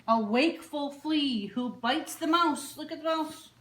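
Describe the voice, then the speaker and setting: A woman's voice: sits high, fairly bright tone. Woman reading picture books in an echoey room. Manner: simple, child-directed talk with deliberate, repeated phrasing. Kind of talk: animated read-aloud story time for young children. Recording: roomy echo, faint background noise.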